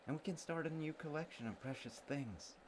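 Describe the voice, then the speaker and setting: A man playing a sweet, earnest childhood friend in love, speaking haltingly and tenderly in an intimate romantic audio roleplay. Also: a little high, background noise, lightly acted. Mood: loving, earnest. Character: sweet, slightly slow childhood friend, male, sincerely in love.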